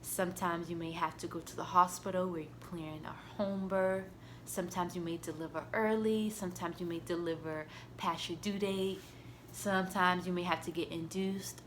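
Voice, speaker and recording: A young woman in her twenties chatting to the camera in a lowish voice. She talks loosely and warmly, scattering "like" and "you know" through her sentences. A faint hiss of noise sits underneath. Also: steady tone, normal speed. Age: 20s. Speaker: vlogger mom. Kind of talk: casual chat to camera.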